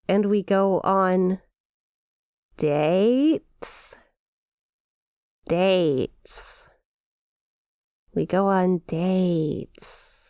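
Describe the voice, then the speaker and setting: A woman's voice: mid-range voice, darkish tone, clear, resonant tone, dry recording, studio quality. A woman voicing a centuries-old demon imp in an ASMR roleplay, haughty and mock-formal yet awkwardly lovestruck, slipping into hesitant casual speech. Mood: flustered, excited. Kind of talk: ASMR character roleplay addressed to listener.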